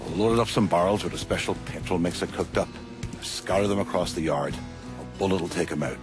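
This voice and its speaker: An actor playing a reckless, sardonic Irish gangster with a blunt, menacing manner in a game. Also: male voice, deep voice, quick pace, music in the background, natural voice. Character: reckless Irish gangster, sardonic, vengeful hard drinker type. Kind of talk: game cutscene acting.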